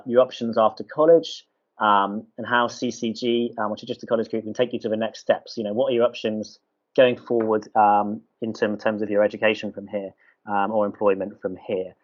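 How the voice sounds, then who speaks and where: A man hosting an online college event, talking hesitantly with many pauses. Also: fairly low pitch. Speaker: college host. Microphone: video call. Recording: muffled video call audio.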